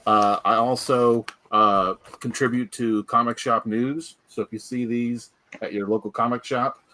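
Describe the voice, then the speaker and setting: A man with a medium-pitched voice speaks as a guest on a livestream. He plugs his writing in a casual, conversational way. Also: fairly even intonation, a little slow, sharp tone. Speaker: comics writer and guest. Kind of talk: casual self-promotion on a livestream.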